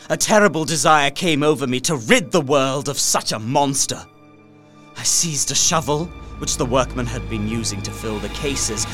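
A man playing a terrified young captive in a gothic radio play, speaking his journal with intense, deliberate drama over music. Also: fairly high pitch, fairly bright tone. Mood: feverish horror. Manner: theatrical, intense, deliberate archaic diction. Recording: music under the voice.

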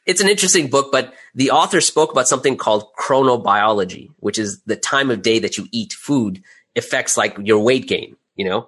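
A man's voice: mid-range voice, animated, fairly quick, fairly bright tone, breathy edge. A middle-aged man speaking casually as an interview guest, candid and self-deprecating about his own work as a creator. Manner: candid, self-deprecating, chuckles while talking.

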